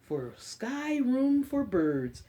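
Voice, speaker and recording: Woman reading expressively to kids, very animated pitch. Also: slight background noise. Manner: expressive, drawn-out reading with encouraging asides to young listeners. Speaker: story time host reading a picture book aloud to children. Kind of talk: children's read-aloud with chatty asides.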